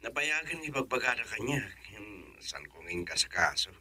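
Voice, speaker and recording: An actor with an Ilocano accent playing a playful young man working abroad, loud and joking in a radio drama. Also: medium pitch, sing-song, normal speed. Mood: affectionate.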